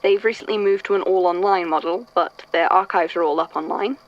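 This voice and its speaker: A woman's voice: fairly low pitch, brisk, dull audio, lightly acted. A woman playing an archivist in a fan audio drama, reading old supernatural statements and thinking aloud. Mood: intrigued, musing.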